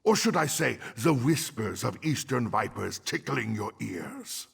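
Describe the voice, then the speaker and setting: An actor playing a proud, suspicious older High King in a fantasy video game, speaking with imperious contempt in a gravelly, husky voice. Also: expressive, unhurried, exaggerated voice. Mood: scornful. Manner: scornful, imperious. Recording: long reverb of a hall.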